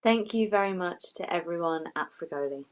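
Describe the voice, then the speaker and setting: Woman, show host, slightly low pitch, live interview presenting. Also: a little fast.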